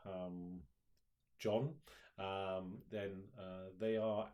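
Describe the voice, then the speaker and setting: A man's voice: low voice, level tone, drawn-out words, darkish tone. A man chatting casually on a live stream about upcoming comic projects, hesitant with many ums and restarts. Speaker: comic publisher co-host.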